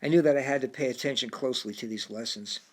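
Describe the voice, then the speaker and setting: A man in the middle of the usual pitch range reads a first-person story aloud. His delivery is reflective and plain, and he now and then stumbles and restarts a phrase. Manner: reflective, with occasional halting restarts. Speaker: narrator. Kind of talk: first-person audiobook narration.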